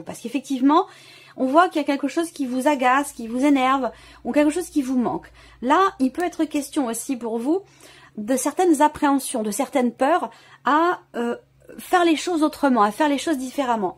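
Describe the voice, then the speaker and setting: Woman, high voice, tarot reader, addressing the viewer, hesitant phrasing, frequent rewording, unscripted explanation. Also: slightly rough. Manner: hesitant, searching for words, often rephrasing. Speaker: tarot reader, channel host.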